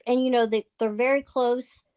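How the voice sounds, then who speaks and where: A woman's voice: fairly high pitch, steady tone, normal speed, a little mellow. A woman guest in a casual livestream chat, talking in an earnest, rambling way full of fillers.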